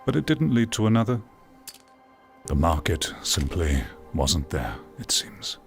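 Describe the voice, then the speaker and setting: Deep-voiced man, measured theatrical commentary. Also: very animated, soft background music. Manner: measured, theatrical, rhetorical delivery with pauses. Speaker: video game critic and content creator. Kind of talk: reflective scripted-sounding video essay commentary.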